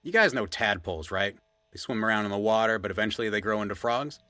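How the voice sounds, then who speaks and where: A man acts as an earnest, rambling sidekick on a fictional podcast. His voice is lowish but bright and forward, recorded very dry, and he tells his odd facts like a digressive storyteller. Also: quick pace, no room sound. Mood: earnest, chatty. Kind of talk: conversational audio drama.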